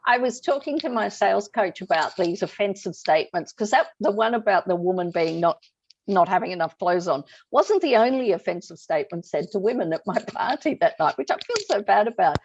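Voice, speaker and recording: A woman's voice: medium pitch, lively intonation, brisk, slight background noise. A middle-aged woman hosting a conversation, talking things through reflectively and asking her guest questions. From Australia. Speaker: host. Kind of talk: conversational discussion. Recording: slightly dull lo-fi audio.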